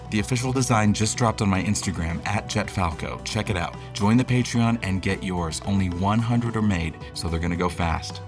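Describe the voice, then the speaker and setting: Male podcast host, lowish voice, addressing listeners directly in a prepared announcement, music running clearly underneath. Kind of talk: scripted thank-you announcement to listeners.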